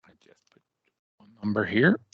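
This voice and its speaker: A man narrating a step-by-step software tutorial as a trainer, with halting, deliberate phrasing and hesitant pauses. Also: on the low side, very animated, breathy edge, no echo, lo-fi sound.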